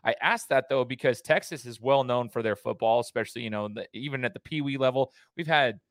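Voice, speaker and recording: A man's voice: mid-range voice, brisk, no room sound, clean studio sound. A man hosting a podcast and coaching basketball, asking long, rambling questions in a casual chatty way.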